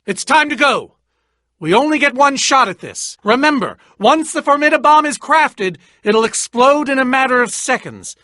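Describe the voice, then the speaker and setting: An eccentric game inventor with a very animated, swooping delivery. He is boastful but jittery, wordy and theatrical, often trailing into hesitant asides. The sound is close and dry. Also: very high voice, normal speed, no echo, exaggerated voice. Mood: anxious, dramatic. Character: eccentric, boastful, nervous male inventor and adventurer. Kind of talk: video game character voice acting.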